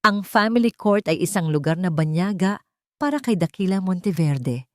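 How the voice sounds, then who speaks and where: Low-voiced Filipino woman narrating a story. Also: expressive, normal speed, no echo, clean studio sound. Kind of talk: scripted dramatic story narration.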